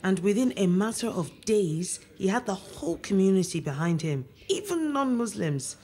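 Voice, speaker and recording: Proud, animated, reproachful mother. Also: mid-range voice, normal speed, husky. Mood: reflective, pointed. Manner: pointed, guilt-tripping. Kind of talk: audio drama acting.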